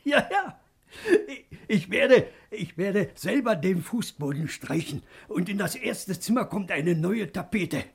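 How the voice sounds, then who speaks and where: A man acting a lonely, hopeful aging father with a creaky voice and eager, emotional delivery in a 1950s German radio drama. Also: sits high, animated, measured pace, heavily acted. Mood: joyful excitement.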